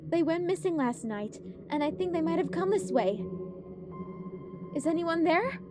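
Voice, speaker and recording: A polite young cartoon pony, voiced by a woman, speaks in a very high voice from outside a door. She is searching, in a dramatized horror story with prominent music. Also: animated, normal speed. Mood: calling, concerned.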